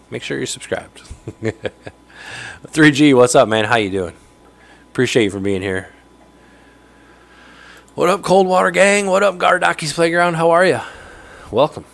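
A man's voice: a little high, expressive, a little slow, gravelly, pauses often, fairly bright tone. A man in his thirties with a nasal voice, getting over a cold, hosting a casual livestream and greeting viewers by name from his home fishroom. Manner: chatty, greets viewers by name, laughs often. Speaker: livestream host. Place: home fishroom with aquariums, indoors. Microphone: studio mic on stand close in front.

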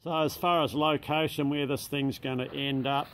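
A man's voice: on the high side, flat delivery, moderate pace, a bit bright, low-quality recording. An Australian man who runs a machine shop, giving a casual, matter-of-fact walkthrough in a large indoor workshop shed. He speaks plainly, uses local slang, and is recorded on a handheld camera mic.

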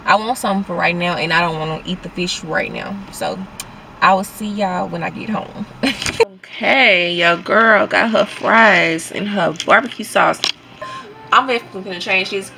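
A woman's voice: fairly low pitch, normal speed, vocal fry, bright, forceful tone, a little hiss or hum, rough recording. A young adult woman with a Southern US accent, talking casually and informally to viewers as a vlogger. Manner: informal, conversational, addressing viewers as y'all. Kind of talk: casual vlog chat to viewers.

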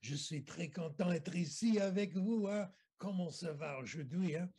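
A man voicing a teenage boy who mocks an exaggerated French accent, theatrical and raised in pitch. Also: normal speed, warm tone, husky. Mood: mocking. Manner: mocking, theatrical.

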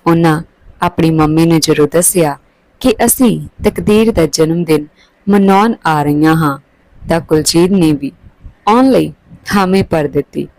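A woman's voice: low-pitched, unhurried, darkish tone, no room sound, poor audio. A woman narrating a scripted story.

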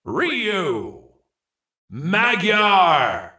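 A hyped arena announcer for a fighting video game. He is theatrical and emphatic, swinging widely in pitch and stretching out each short call very slowly, with a roomy echo around him. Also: wildly swooping pitch, bright, forceful tone, distant mic, heavily acted. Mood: bombastic, hyped. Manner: theatrical, drawn-out, emphatic delivery.

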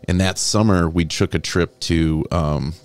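A man's voice: low voice, fairly even intonation, unhurried. A middle-aged American man, a podcast guest telling stories in an easygoing, casual way. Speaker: interview guest, comic book artist. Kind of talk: casual interview chat. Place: indoor podcast studio. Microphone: close boom-arm podcast microphone with headset.

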